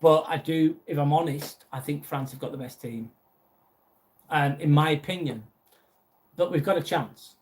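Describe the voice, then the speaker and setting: A young man with a Lancashire accent hosting a casual live stream, reading out viewer comments and chatting back. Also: on the high side. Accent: Lancashire English. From northwest England. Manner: chatty, reads out viewer messages and answers them.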